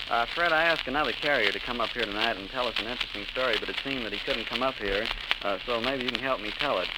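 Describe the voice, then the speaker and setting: An American man hosting a 1930s radio interview, polite and halting in his delivery, on an old recording. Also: medium pitch, heavy background noise.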